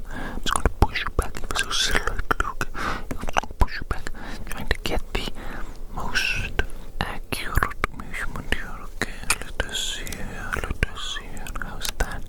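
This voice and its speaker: A person performing an ASMR roleplay entirely in whispers, meticulous and unhurried, softly repeating phrases. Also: rough recording. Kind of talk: ASMR roleplay, whispered measuring and note-taking.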